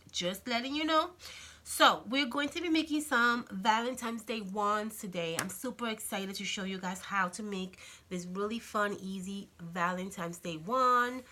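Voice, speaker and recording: A woman hosting a casual crafting tutorial, chatty and friendly, her voice slightly raspy from a cold. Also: mid-range voice, sharp tone, slight background noise. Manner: chatty, friendly, many fillers and "okay?" check-ins. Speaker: craft channel host.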